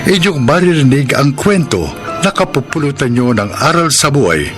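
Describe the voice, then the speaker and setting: A man with a Filipino accent announcing a radio drama in theatrical, drawn-out scripted phrases. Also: fairly high pitch, very animated, fairly bright tone, faint music behind.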